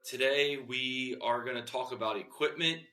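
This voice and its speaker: Guy hosting a podcast, bright voice, lots of ums, kinda roomy echo. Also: mid-range voice, moderate pace. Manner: friendly, rambling, many fillers. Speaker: podcast host.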